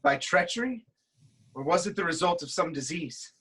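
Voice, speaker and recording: Tragic king, proud, fearful, declamatory, slightly high husky voice. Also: sing-song, normal speed, fairly bright tone, full character voice. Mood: shocked, bitter. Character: troubled proud king, fearful and haunted by prophecy. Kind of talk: dramatic reading of Greek tragedy.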